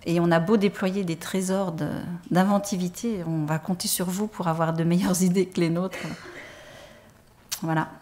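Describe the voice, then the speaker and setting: A woman's voice: on the low side, steady tone, normal speed, darkish tone, a little hiss or hum. A woman moderating a conference round table, fluent but with frequent fillers as she introduces the next speaker.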